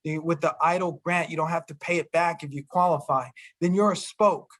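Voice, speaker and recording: A government official answering a question in an earnest, storytelling way, with frequent restarts. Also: male voice, on the high side, steady tone, fairly quick, fairly bright tone. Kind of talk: virtual town hall talk.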